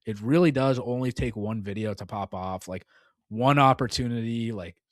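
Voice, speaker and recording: A man's voice: medium pitch, normal speed, no echo, clean studio sound. A young man co-hosting a casual gaming podcast, rambling and filling his talk with "like" and "dude.". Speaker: podcast co-host.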